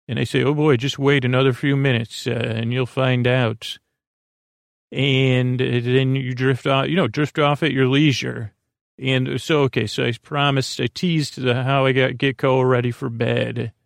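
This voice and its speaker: A man with a gravelly, creaky voice, recorded dry and close. He rambles through a casual bedtime monologue as a podcast host. He halts, digresses and restarts mid-thought in a reassuring way. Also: medium pitch, little pitch movement, unhurried, no room sound.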